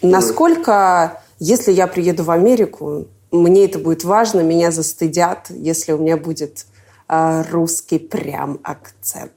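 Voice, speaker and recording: A woman with a low, warm voice chats casually and asks curious questions. She laughs easily along the way. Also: a little slow, slight background noise. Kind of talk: casual chat.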